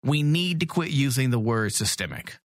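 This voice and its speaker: A man co-hosting a podcast, going hoarse, ranting sarcastically and swearing freely in crude comedic banter. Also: medium pitch, expressive, normal speed, dry recording, studio quality. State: losing his voice. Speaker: podcast co-host.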